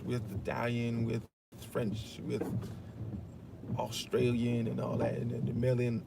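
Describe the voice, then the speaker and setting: A man talking in a loose, casual monologue, swearing freely and filling his talk with "you know what I'm saying.". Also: medium pitch. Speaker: commentator. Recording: steady loud road and vehicle noise.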